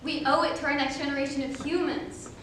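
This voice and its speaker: A woman's voice: sits high, steady tone, a little fast, a bit bright. A young adult woman performer giving an emphatic, theatrical rehearsed stage presentation. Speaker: college forensics performer. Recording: very echoey room, distant mic, clear noise.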